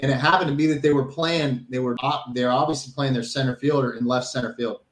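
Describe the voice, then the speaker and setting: Male, American, ex-athlete guest telling stories casually, medium pitch, echoey room sound. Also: steady tone, fast talker. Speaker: former college baseball player, guest. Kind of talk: casual reminiscing in an interview.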